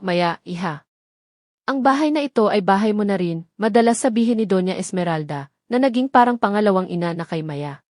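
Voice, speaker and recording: A woman's voice: medium pitch, darkish tone, no echo, studio quality. A woman with a Filipino accent reads a scripted dramatic story as narrator. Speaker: narrator of audio drama stories.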